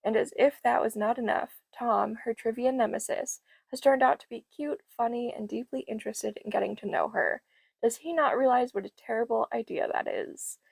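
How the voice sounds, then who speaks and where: A young woman, a bit hoarse from losing her voice, chatting enthusiastically about library books. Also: a little high, fairly even intonation, moderate pace, no room sound. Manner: enthusiastic, gushing. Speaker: book vlogger. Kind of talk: casual book haul chat.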